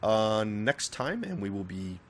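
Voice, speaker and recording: Low-voiced virginia guy cohosting a podcast, plain american accent, swears a lot, jokes around, faint hiss. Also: unhurried. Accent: General American. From Northern Virginia, USA. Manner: crude, swearing, animated and joking. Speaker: podcast co-host. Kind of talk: casual chat.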